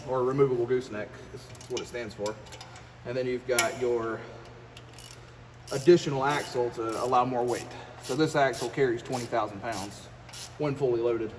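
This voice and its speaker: An American man giving a casual, rambling hands-on repair vlog, muttering in a folksy, self-deprecating way as he works. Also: mid-range voice. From United States. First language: English. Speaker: trucker and vlogger. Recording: echoey, distant mic, clear workshop noise.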